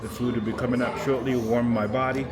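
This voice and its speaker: A man's voice: mid-range voice, level tone, fast talker, loud music behind the voice. A man chatting casually in a vlog, in an amused, teasing way.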